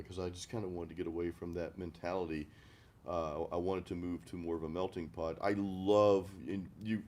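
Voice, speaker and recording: A middle-aged man from the American Deep South hosting a casual music chat, rambling and self-deprecating with frequent restarts. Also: mellow. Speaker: host of a music chat stream. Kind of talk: casual chat over beers, reading live comments.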